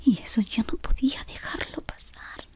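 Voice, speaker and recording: A young woman voicing a devoted female servant of a dark wizard, whispering close to the mic in a fawning, deferential way. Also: moderate pace, natural voice. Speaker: ASMR roleplay creator. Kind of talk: whispered ASMR roleplay. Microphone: close sensitive mic at face level.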